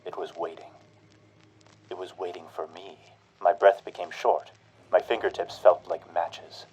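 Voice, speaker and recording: A deep old-time radio announcer. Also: male voice, little pitch movement, unhurried, slightly rough, low-quality recording. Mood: hushed dread. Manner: booming announcer delivery dropping to a hushed, tense telling. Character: man from old radio telling his own night of terror. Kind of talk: 1940s radio drama acting.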